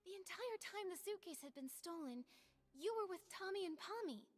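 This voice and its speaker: A woman voicing an earnest young female adventurer in an anime-style game, emphatic and expressive. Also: very high voice, moderate pace, soft background music. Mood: accusing, indignant.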